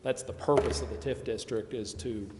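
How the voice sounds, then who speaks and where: A man's voice: mid-range voice, fairly even intonation, moderate pace. A man explaining things at a public meeting as an adviser, hedging and repeating himself as he goes. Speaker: presenter advising a city committee. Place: council chamber. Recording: echoey meeting chamber, faint noise.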